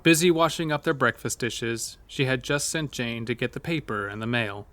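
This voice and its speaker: A man's voice: mid-range voice, normal speed, a bit bright, dry recording, lightly acted. A man narrating a scripted horror audio drama, telling a grisly tale as its storyteller. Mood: measured, grim.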